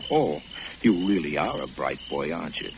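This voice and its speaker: An actor playing a hard-nosed police detective, sarcastic, needling and terse, in 1940s radio crime drama style. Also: male voice, a bass voice, animated, moderate pace, gravelly, heavily acted. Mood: sardonic. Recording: muffled, noisy old radio broadcast.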